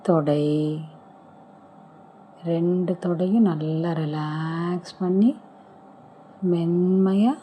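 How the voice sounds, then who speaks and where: A woman leading a guided relaxation meditation, giving soothing, gentle step-by-step instructions with pauses. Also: on the low side, fairly even intonation, slight background noise.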